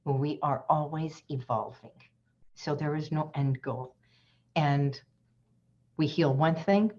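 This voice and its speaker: A woman's voice: very deep voice, darkish tone. Earnest woman on a dull video call. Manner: earnest, reflective, repeats key phrases. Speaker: hypnotherapist guest. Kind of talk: inspirational talk in an online session.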